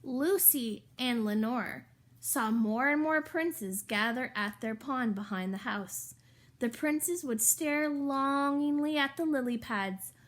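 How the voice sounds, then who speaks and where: A woman reading a children's story aloud in an expressive, emphatic way, with chatty asides to the listeners. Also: high voice, takes it slowly. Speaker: storybook reader.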